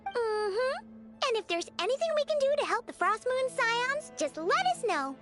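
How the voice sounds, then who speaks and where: A woman voicing a tiny, excitable, childlike fairy companion in a video game, squeaky and chirpy, full of exclamations. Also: normal speed, soft background music, exaggerated voice. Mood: earnest, eager. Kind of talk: animated video game voice acting.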